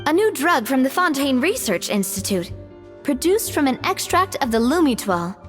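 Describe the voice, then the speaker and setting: An actress playing a spirited, well-informed young woman in a video game, articulate and expressive. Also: high-pitched, moderate pace, in a large hall, faint music behind. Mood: informative, earnest.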